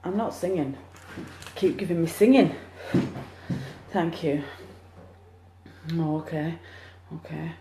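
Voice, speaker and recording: A woman's voice: low voice, slow, pauses often, background noise. Chatty woman medium, phone livestream. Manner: chatty, excitable, cheering on share counts. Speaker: psychic medium. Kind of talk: live-streamed card reading. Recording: echoey room, phone mic.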